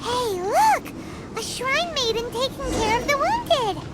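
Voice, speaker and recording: A woman voicing a small, childlike, bubbly fairy companion with a squeaky voice in a video game. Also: big pitch swings, slow pace, exaggerated voice. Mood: curious. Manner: bubbly, exclamatory, blunt. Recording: music behind the voice.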